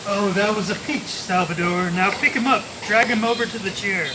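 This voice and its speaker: Man as polite menacing thug, high male voice, radio detective drama, noisy hissy recording. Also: normal speed, lightly acted. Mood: smug, commanding. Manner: courteous, matter-of-fact menace. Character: polite, unhurried male thug called Uki, mock-courteous. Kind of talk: old-time radio detective play acting. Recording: noisy live recording with hiss.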